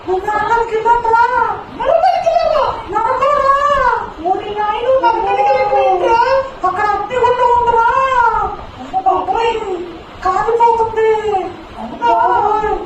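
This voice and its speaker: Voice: extremely high pitch, normal speed, pauses often, highs cut off. A performer voicing a frightened, naughty boy in a children's puppet show, whiny and full of dramatic exclamations. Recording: echoey, distant, noisy live show with crowd.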